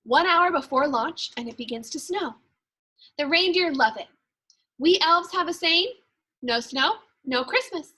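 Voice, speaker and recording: An eager, fretful little Christmas elf from a children's storybook, read by a woman. The voice is high and bright. The delivery is chipper and confiding, with a punctual worrier's urgency. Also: animated, a little slow, exaggerated voice. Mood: proud, excited.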